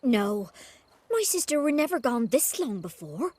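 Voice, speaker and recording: Worried young village woman for a fantasy game: highish, husky, exasperated, dry recording. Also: sing-song, unhurried, a little mellow, no room sound, heavily acted. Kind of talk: video game voice acting.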